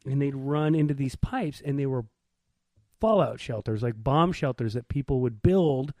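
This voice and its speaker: A man's voice: mid-range voice, lively intonation, moderate pace, darkish tone, no echo. A man co-hosting a podcast with casual banter, talking in a blunt, informal way. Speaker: podcast co-host.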